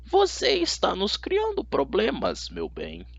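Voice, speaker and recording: A man voicing a menacing female teacher who is secretly a monstrous hag, speaking slowly in a hissing, threatening way, in an audiobook. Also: high-pitched, huge pitch swings, creaky, husky, dry recording, voice pushed up, exaggerated voice.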